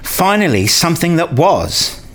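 Man acting weary family man, lively intonation. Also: a little high, a little slow. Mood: wry, hesitant. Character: weary, put-upon married academic father, wryly self-mocking. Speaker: narrator and lead actor in a radio play. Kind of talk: first-person narration and acted dialogue.

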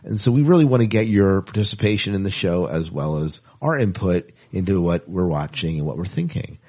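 A man with a low-pitched, slightly rough voice hosts a casual podcast. His intonation moves up and down in a very animated way, and he talks in a playful, teasing manner. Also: fairly quick. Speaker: podcast co-host.

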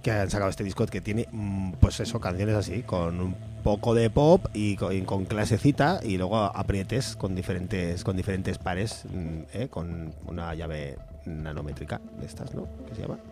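A man's voice: low voice, normal speed, hardly any pauses. A Spanish man playing an older, bumbling pope in a comedic podcast chat, rambling and trailing off mid-word. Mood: joking. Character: a bumbling late pope, male, older, jokey. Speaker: podcast co-host. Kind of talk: comedic improvised chat in character.

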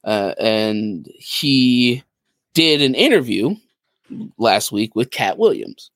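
A man with a medium-pitched voice hosting a podcast. He talks in a slangy, joking, off-the-cuff style, and the sound is dry and close with no room around it. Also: big pitch swings, a bit bright, dry recording. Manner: slangy, jokey, quick to laugh. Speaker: podcast host. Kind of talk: casual podcast chat.

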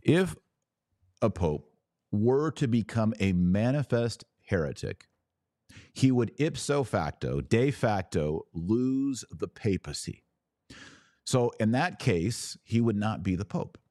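An American man hosting a podcast, giving conversational commentary in an emphatic way with deliberate phrasing and pauses. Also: fairly low pitch, very animated, mellow, dry recording, clean studio sound. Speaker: podcast host. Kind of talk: conversational commentary answering listener questions.

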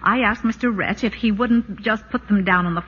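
An actress playing a gracious, flustered suburban wife who justifies herself innocently, in 1940s radio comedy style. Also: female voice, mid-range voice, normal speed, a bit bright, slightly rough. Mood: innocently defensive. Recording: muffled, echoey, noisy old radio broadcast.

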